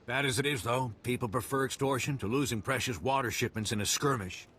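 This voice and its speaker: An actor playing an earnest, worried desert moisture farmer in a video game. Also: male voice, medium pitch, fairly quick, a bit bright, slight background noise, close to an everyday voice. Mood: earnest pleading. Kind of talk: video game dialogue acting.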